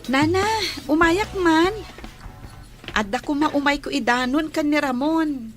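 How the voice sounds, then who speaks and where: A woman acts out a young Filipino village friend in a radio play. Her voice is high and she takes her time, stretching words out in a concerned, conversational way. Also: measured pace, faint music behind. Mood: concerned, inquiring. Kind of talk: radio drama acting.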